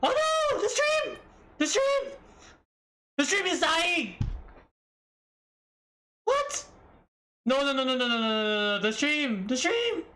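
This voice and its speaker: High-pitched, lively man reacting on stream. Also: slow, long pauses. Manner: excitable, repetitive exclamations. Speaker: streamer reacting to a tournament broadcast. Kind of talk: live watch-along reaction, short interjections.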